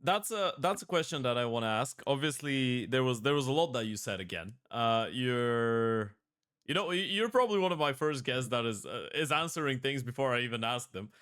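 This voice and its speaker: Male interview host, smooth tone, chatty long-winded questions. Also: medium pitch, expressive, moderate pace, fairly bright tone. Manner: rambling long questions, self-correcting. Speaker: podcast host. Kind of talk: casual interview.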